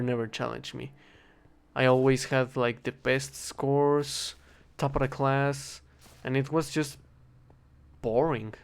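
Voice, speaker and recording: A young man with a Spanish accent, a native Spanish speaker, rambling in a casual, halting monologue while he plays a game and keeps correcting himself. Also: mid-range voice, vocal fry. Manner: halting, self-correcting, thinking aloud. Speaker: vlogger.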